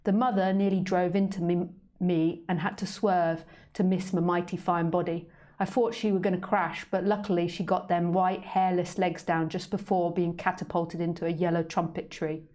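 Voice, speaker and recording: A woman's voice: on the low side, level tone, normal speed, darkish tone, lightly acted. A woman reading as a vain, cocky street cat. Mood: smug. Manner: working-class London turns of speech, storybook reading.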